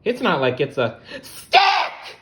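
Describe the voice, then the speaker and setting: Man voicing a timid little spider with swooping pitch. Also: huge pitch swings, slow pace, fairly bright tone, heavily acted. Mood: nervous. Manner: wordy, formal, fretful. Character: small young scaredy spider, polite, big-worded, easily frightened.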